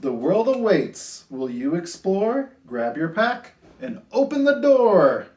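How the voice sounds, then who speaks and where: A man hosting a children's storytime, reading picture books aloud in an expressive, sing-song way with drawn-out phrases. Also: sits high. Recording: roomy, echoey indoor sound.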